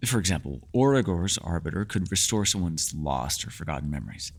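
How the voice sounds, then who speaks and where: A man's voice: deep voice, lively intonation, fairly quick. A man playing a mysterious, learned elf in a fantasy ASMR roleplay, talking to the listener in a bookish, rambling and playfully formal way. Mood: explanatory, patient. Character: mysterious, learned male elf, talkative and gently teasing.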